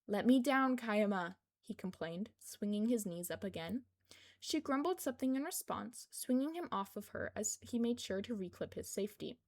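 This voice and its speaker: A woman's voice: mid-range voice, expressive, normal speed, darkish tone. A woman reading scripted fiction aloud as an amateur audiobook narrator.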